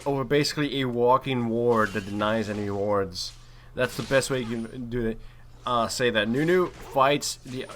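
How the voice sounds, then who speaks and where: A man's voice: mid-range voice, fairly bright tone, slight background noise. A man giving casual, chatty commentary on a video game replay as one of the players.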